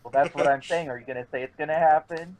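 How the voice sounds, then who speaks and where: A man's voice: on the high side, fast, darkish tone, a little hiss or hum. A man talking casually as a player in a tabletop roleplay game.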